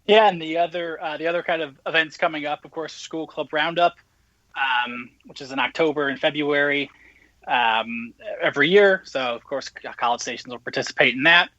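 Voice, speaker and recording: Male guest, bright voice, dry lo-fi line. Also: fairly high pitch, moderate pace, no room sound. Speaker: interview guest. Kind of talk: casual, informative conversation. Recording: slightly dull remote call audio.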